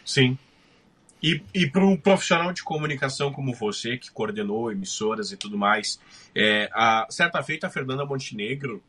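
A man's voice: mid-range voice, bright, forceful tone, husky. A male interviewer, asking long, winding questions built around anecdotes.

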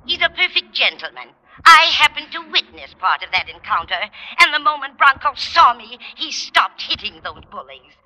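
A blunt, indignant landlady in a 1950s radio sitcom. Her high voice is very sharp and forceful, rising and falling vividly as she scolds. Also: sing-song, normal speed, heavily acted, poor audio. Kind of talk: 1950s radio situation comedy acting.

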